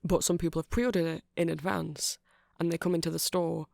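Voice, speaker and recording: A man's voice: fairly high pitch, brisk, vocal fry, dry recording, clean studio sound. A man playing a nerdy vampire who keeps a music shop, rambling eagerly and apologetically in a casual ASMR roleplay. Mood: eager, helpful. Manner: hesitant, apologetic, excitable rambling.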